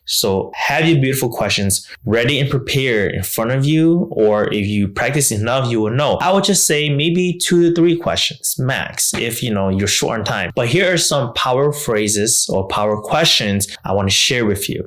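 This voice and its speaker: A man's voice: medium pitch, a bit bright. A man speaking as a business coach, explaining step by step and voicing sample phrases as he teaches. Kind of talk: instructional talk to viewers.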